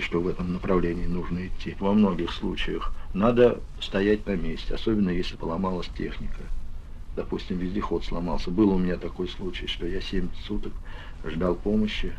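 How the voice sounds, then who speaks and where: A man explaining things in an interview as an experienced explorer, measured and emphatic. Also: low-pitched, darkish tone. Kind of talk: reflective, explanatory interview talk. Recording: dull old recording with faint noise.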